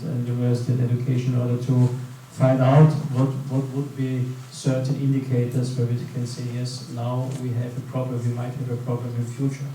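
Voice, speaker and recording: A man's voice: mid-range voice, dark tone. A man, answering as a panelist with many restarts and fillers. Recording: echoey hall, distant mic, faint noise.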